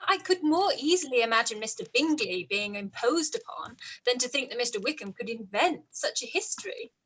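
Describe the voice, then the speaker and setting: A woman playing a spirited, outspoken young gentlewoman in a period radio play, candid and expressive. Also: on the high side, brisk, husky, poor audio. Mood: earnest. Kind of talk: live-read period radio play acting.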